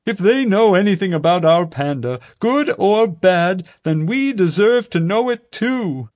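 A man doing a high, fervent protest leader. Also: no room sound. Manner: declaiming slowly and loudly.

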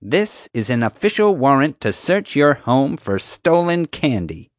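A man's voice: mid-range voice, very animated, moderate pace, no room sound, studio quality, pitched above the performer's own voice, natural voice. A man voicing a rude, pompous, dim-witted woman security officer in an audiobook, with an officious, accusatory way of talking. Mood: pompous, accusing. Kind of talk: audiobook character voice.

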